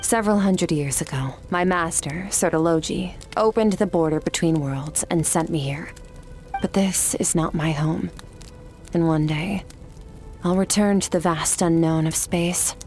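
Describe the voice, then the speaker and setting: A woman playing a cool, aloof otherworldly swordmaster in a video game, stoic and blunt, with a measured, detached delivery. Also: fairly low pitch, darkish tone, faint music behind. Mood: solemn, determined. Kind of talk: video game character dialogue.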